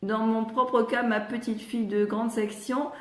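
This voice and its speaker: A woman's voice: a little high, little pitch movement, unhurried. An older woman with a slightly congested voice, talking parents through how children learn letters in an easy, chatty way. She calls viewers by name as she answers them live, and the sound bounces around a roomy space. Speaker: retired speech therapist answering parents live. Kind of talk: live Q&A teaching. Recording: roomy echo.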